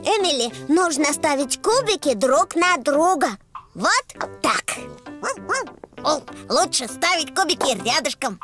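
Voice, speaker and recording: A woman voicing a cheeky, excitable little girl piglet in a preschool cartoon, childlike, bossy and teasing. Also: high-pitched, measured pace, music in the background. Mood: helpful, eager. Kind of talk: dubbed preschool cartoon acting.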